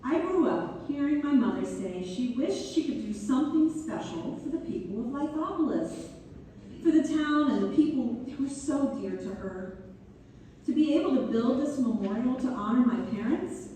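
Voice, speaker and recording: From some distance in a reverberant hall, an actress plays a gracious woman benefactor in a stage reading. Her voice is fairly high and warm, idealistic and sincere. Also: animated, normal speed. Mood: proud, sincere. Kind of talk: dramatic read-through on stage. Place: reverberant hall, community theater performance. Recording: echoey hall from far off, faint noise.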